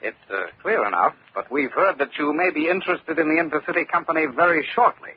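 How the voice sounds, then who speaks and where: An actor playing a brisk, dogged insurance investigator in an old-time radio drama, speaking assertively. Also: male voice, a little high, natural voice. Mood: probing. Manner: assertive, animated. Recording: muffled, noisy old radio recording.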